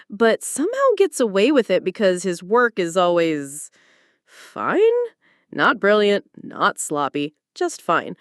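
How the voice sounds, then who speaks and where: A female narrator reads a personal story dramatically, with very animated intonation. Her delivery is conversational and confiding, as though sharing something privately. The recording is close and dry, with hardly any room sound. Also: mid-range voice, smooth, dry recording.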